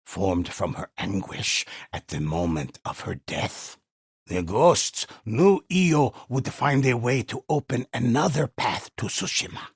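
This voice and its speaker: Old male storyteller for a game: solemn, gravelly, dramatic narration with wide swoops in pitch. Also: sing-song, takes it slowly, no echo, clean studio sound, exaggerated voice. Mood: solemn, ominous. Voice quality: husky, gravelly. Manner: solemn, ominous, theatrical.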